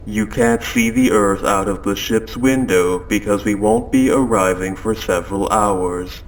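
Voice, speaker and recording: Man as a slow, low-voiced possessive spaceship AI. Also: slight background noise, poor audio. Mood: polite, matter-of-fact. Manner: measured, formal, softly soothing and coaxing. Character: calm, possessive spaceship AI turning quietly obsessive. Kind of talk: scripted audio roleplay monologue. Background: ambient sound effects.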